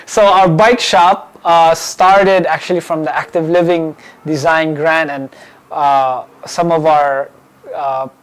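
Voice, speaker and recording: Brief: man from Hawaii, program manager, fairly high voice, halting delivery with many fillers, recorded on site in a bike shop. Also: steady tone. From Hawaii, born in the Philippines. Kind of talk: spoken welcome and introduction.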